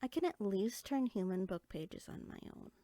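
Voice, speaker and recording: Fairy sorcerer female actor, audio roleplay: inquisitive, eager, chatty, medium pitch, soft asides, dry sound. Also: big pitch swings, normal speed, dry recording, lightly acted. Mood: cheerful. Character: excitable, inquisitive young female fairy sorcerer, friendly and bookish. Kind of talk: one-sided roleplay audio drama, conversational.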